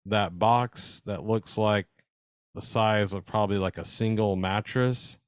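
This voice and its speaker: A man with a deep, very clear and smooth voice presents slides informally. He explains technical details conversationally and with evident interest, like a hobbyist addressing fellow club members. The sound is dry, with little room echo. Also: fairly even intonation, measured pace. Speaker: presenter at an amateur radio club meeting.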